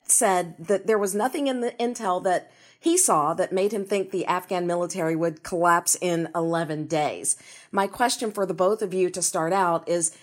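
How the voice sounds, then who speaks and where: A woman's voice: on the low side, no room sound, clean studio sound. A woman hosting an interview podcast, moving between scripted introduction and questions to guests. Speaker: podcast host.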